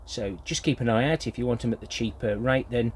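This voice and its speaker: A man's voice: mid-range voice, a little fast, a little hiss or hum. An older British man giving a casual, unscripted product review in a hesitant, rambling way. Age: older, grandfather. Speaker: gardening vlogger reviewing a product.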